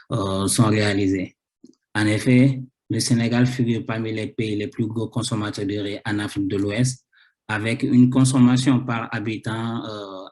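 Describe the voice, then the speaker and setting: Hesitant man with a cold presenting research. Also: fairly low pitch. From Senegal. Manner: hesitant, repeats words, many fillers. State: has a cold. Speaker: researcher presenting his thesis. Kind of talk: academic conference talk.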